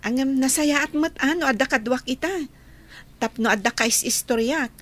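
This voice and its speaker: A woman playing a gentle young woman in love in a slow radio drama. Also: sits high. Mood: warm, friendly.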